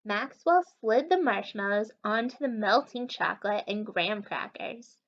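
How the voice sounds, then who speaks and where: A woman reading a story aloud to young children as a teacher, animated and encouraging, talking directly to her listeners. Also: mid-range voice, moderate pace, highs cut off. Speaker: children's story time reader and literacy teacher.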